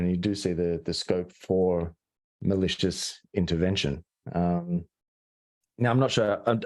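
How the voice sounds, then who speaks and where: A deep-voiced Australian man presents online in a relaxed, warm tone. He pauses, restarts and fills gaps as he explains, casual rather than polished. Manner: hesitant, full of restarts and fillers. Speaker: webinar presenter. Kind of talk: conversational webinar presentation.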